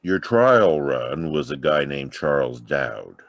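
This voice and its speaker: Man playing a deep, needling, hard-nosed cop. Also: big pitch swings, slow, creaky, full character voice. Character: sardonic, hard-nosed male police detective. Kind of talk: 1940s radio noir acting.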